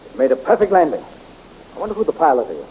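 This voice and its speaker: A man's voice: fairly high pitch, wildly swooping pitch, fast, warm tone, muffled recording, a little hiss or hum. A man playing a confident young hero in an old radio serial, clipped and commanding. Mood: heroic. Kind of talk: 1930s radio acting.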